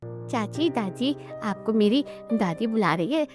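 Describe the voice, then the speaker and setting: A woman's voice: a little high, lively intonation, a little slow, a little mellow, soft background music. A woman playing an eager little neighbour girl in an Indian family cartoon. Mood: urgent. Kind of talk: Hindi family cartoon dialogue.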